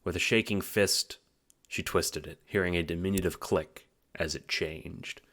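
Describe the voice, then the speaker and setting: A man with a very low voice narrates an audio drama. He reads scripted storytelling prose as the narrator of the tale. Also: steady tone, unhurried, a bit bright.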